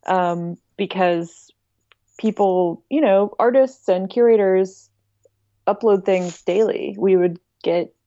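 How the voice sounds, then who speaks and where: Female podcast guest, soft dark tone, thoughtful and conversational, dry but slightly lo-fi recording. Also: mid-range voice, takes it slowly, no echo. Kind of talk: casual interview answers.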